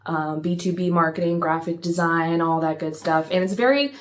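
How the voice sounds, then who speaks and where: A woman's voice: fairly low pitch, moderate pace. A woman in her thirties, from rural Appalachian Virginia, telling her story as a maker in an easygoing, chatty way. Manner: chatty, easygoing, with light laughs. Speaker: metalsmith and maker. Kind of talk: casual talking-to-camera vlog.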